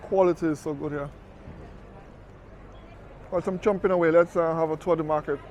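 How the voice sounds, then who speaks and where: A man's voice: fairly high pitch, fairly even intonation, fairly quick, darkish tone, slight background noise. A man talking casually as a travel vlogger, chatty and conversational, recorded outdoors on city streets with a camera mic while walking. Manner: chatty, conversational, fond of "you know".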